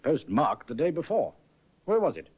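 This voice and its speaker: An actor playing a skeptical, probing police inspector who speaks in clipped questions, in an old radio drama. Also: male voice, on the high side, sing-song, normal speed, breathy edge. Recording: muffled old radio broadcast.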